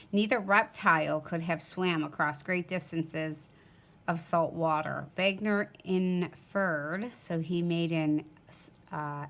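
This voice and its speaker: A woman's voice: fairly low pitch, fairly bright tone. A woman teacher reads a textbook aloud to students, breaking off for informal explanatory asides.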